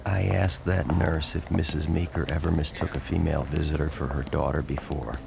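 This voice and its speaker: An actor playing a concerned, supportive reporter in an audio drama, speaking in a dry, understated way. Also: male voice, a bass voice, flat delivery, a little fast, vocal fry, background noise, heavily acted. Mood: matter-of-fact. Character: male reporter friend, low-key, concerned and supportive. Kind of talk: naturalistic audio drama acting.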